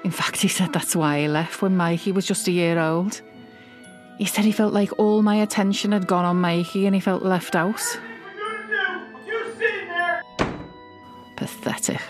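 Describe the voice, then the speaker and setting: A woman's voice: on the low side, steady tone, over music, close to an everyday voice. A woman playing an obsessively doting, self-justifying middle-aged British mother in a confessional audio drama, speaking intimately and defensively. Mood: defensive, scornful. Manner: intimate, defensive, hesitant pauses. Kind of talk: dramatic monologue, confessional fiction podcast.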